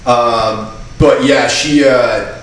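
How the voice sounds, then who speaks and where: A man's voice: medium pitch, steady tone, very slow, far from the mic. A man from Maine hosting a podcast, chatting casually and informally about local music with some swearing. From Maine, USA. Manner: profane, informal. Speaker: podcast host. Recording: very echoey room, off-mic, faint noise.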